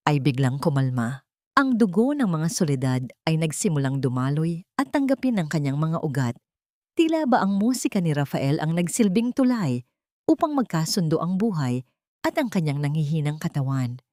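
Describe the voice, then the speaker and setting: A woman narrating a scripted audio drama in a steady, dramatic storytelling manner. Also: low voice, dry recording, studio quality.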